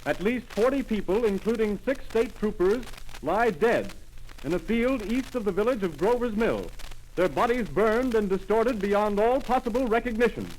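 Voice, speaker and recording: An actor playing an adult male radio announcer who reads grim news in a grave, deliberate 1930s radio style, over a faintly hissy old broadcast. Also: high-pitched, expressive, darkish tone, low-quality recording. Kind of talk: 1930s radio drama acting.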